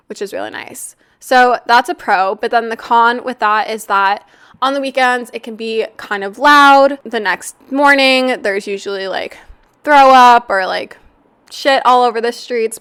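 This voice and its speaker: A young Canadian woman talks through her solo podcast in a candid, chatty way, dropping the odd swear word. Her voice sits a little high, and though the tone is smooth underneath, a flu has left it raspy and slightly nasal. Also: fairly bright tone. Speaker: podcast host. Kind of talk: casual solo podcast chat.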